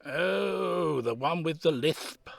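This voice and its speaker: An actor playing a grumpy, sceptical old care home resident in an audio drama, creaky and drily teasing. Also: male voice, on the high side, expressive, slow, dry recording, full character voice. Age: older. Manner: dry, sardonic.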